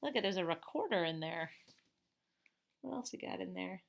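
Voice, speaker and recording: A woman reading a picture book aloud, expressive, with chatty asides to young listeners. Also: on the low side, moderate pace, gravelly.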